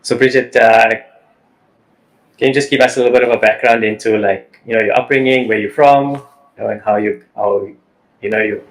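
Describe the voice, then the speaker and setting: A man hosting a wellness podcast, asking questions in a halting way, repeating words and saying "you know". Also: mid-range voice, steady tone, rough recording. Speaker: podcast host. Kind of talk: podcast interview.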